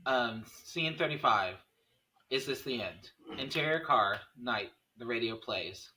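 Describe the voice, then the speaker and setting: Guy reading script directions, bright middle-pitched voice, bit far from the mic. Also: lively intonation, measured pace. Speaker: stage-direction reader. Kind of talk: scripted screenplay narration at a table read.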